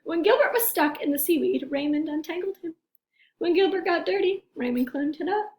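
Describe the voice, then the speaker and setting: A woman's voice: very high voice, animated, brisk. A woman teacher reading a picture book aloud to children, expressively and talking directly to young listeners.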